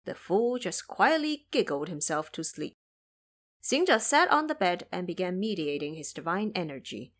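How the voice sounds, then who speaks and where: A woman narrating an audio drama as scripted storytelling, in an expressive manner. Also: fairly low pitch, moderate pace.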